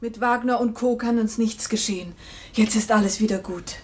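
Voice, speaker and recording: A protective wife, played by a woman, content with her modest security. Her high voice is firm yet soothing, in vintage radio drama style. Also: steady tone, moderate pace, heavy background noise, natural voice. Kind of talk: 1950s German radio drama acting.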